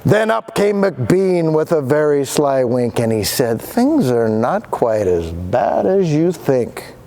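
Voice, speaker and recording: A man's voice: fairly high pitch, slow pace. A male teacher giving a dramatic read-aloud of a picture book to students. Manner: animated, dramatic reading voice.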